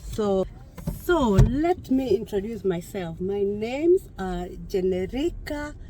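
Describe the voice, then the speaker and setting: A woman's voice: mid-range voice, very animated, takes it slowly. Kenyan woman in her 50s, kikuyu accent, chatty vlog talk, lots of restarts, street traffic noise all around. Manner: chatty, with many restarts and repeated words. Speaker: vlogger. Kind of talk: casual vlog talk to viewers. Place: outdoors near a busy street. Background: passing traffic.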